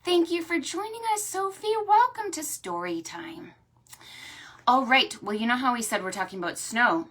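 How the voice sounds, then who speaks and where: Woman, children's storytime host, high voice, very lively swings in pitch, sing-song delivery. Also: normal speed. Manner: animated, sing-song talk to small children. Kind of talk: preschool storytime with stretches and songs.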